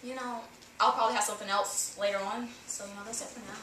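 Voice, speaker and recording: A matter-of-fact fourteen-year-old girl speaks at mid pitch with a bright tone. She sits far from the camera in an echoey room. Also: steady tone, fast, a little hiss or hum. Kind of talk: casual group chat. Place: living room, indoors.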